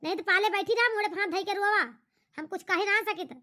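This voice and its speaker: A very high, bright voice acting a nagging, emphatic village woman with an Awadhi accent in a cartoon comedy. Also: fairly quick. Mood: scolding. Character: scolding village Indian women of a cartoon family.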